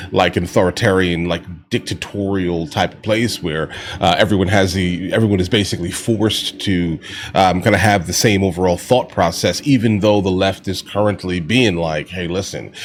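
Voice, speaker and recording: An American man with a fairly low voice gives casual political commentary as a reaction host. He speaks conversationally, addressing his viewers directly. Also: slight background noise.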